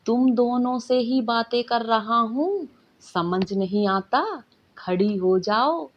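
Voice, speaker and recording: A woman's voice: fairly high pitch, slow pace, dull audio, slight background noise. A woman voicing a strict, commanding male magic teacher who lectures and scolds in a bedtime story. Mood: stern.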